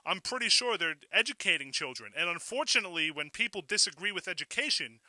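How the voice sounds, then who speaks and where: A man's voice: high voice, sing-song, fast, sharp tone, dry recording, poor audio. An American man hosting a radio call-in show, giving an opinionated monologue with emphatic delivery and rhetorical questions. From Fall River, Massachusetts, United States.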